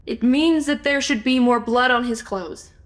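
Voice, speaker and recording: A woman playing a brisk, level-headed, matter-of-fact amateur investigator in a comedy audio drama. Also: fairly high pitch, lightly acted. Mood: focused.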